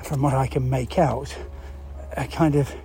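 A man explains a news story in unscripted, halting talk, thinking aloud and posing rhetorical questions. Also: medium pitch, fairly even intonation, dark tone. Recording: clear background noise.

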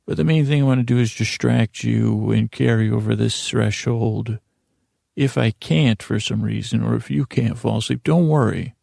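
A man hosting a bedtime podcast in a gravelly voice, with a meandering, halting monologue that keeps interrupting itself and wandering off. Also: medium pitch, warm tone, dry recording, clean studio sound. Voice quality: gravelly, creaky. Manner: meandering, halting, self-interrupting, trails off on tangents. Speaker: podcast host.

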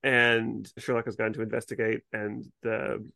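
A podcast co-host with a mid-pitched voice, talking casually and retelling scenes in a conversational style. The sound is close and dry. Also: little pitch movement, moderate pace, gravelly, sharp tone, dry recording. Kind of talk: casual discussion of a TV episode.

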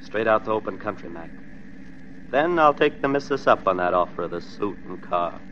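Dull, noisy vintage radio sound carries an American killer on the run. He is curt, slangy and suspicious, his pitch swinging widely as he threatens. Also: lively intonation, moderate pace, fairly bright tone. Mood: curt, threatening. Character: menacing, suspicious armed male fugitive killer. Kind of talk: old-time radio crime acting.

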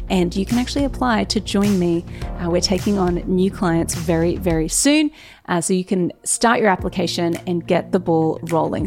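A woman hosting a podcast, upbeat and encouraging as she thanks her guest and speaks to her listeners. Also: mid-range voice. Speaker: podcast host. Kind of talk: podcast interview and scripted outro.

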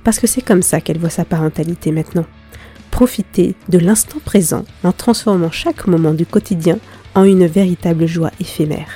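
A woman with a cold and a nasal voice, hosting a podcast and gently interviewing a guest. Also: fairly low pitch, a little fast, slightly rough, soft background music. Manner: gentle, hesitant questions with fillers. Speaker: podcast host.

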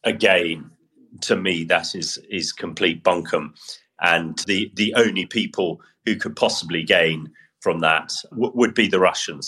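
A man's voice: on the low side, sharp tone, husky. A man giving plain-spoken, dismissive news commentary as a military analyst.

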